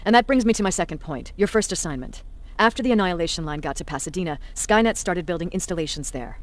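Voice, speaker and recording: An actress playing a tough, stern resistance commander in a video game, authoritative, curt and dryly sardonic. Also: female voice, on the low side, expressive, fast talker, lightly acted. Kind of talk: dramatic video game acting.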